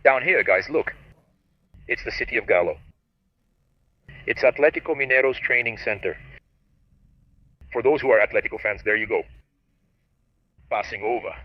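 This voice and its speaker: A male helicopter pilot chats to his viewers from the cockpit, switching between friendly explanations and crisp radio calls. His voice sits at a middle pitch. It comes through a muffled, dull headset feed with no room sound. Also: normal speed, pauses often, no echo.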